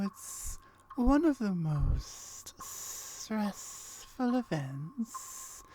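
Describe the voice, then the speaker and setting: Very slow, soft-spoken guarded gorgon played by a woman. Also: on the low side, a little hiss or hum, poor audio. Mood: intrigued. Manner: hesitant, halting, formal measured phrasing. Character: lonely, guarded female gorgon, wary yet curious. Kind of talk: ASMR roleplay acting.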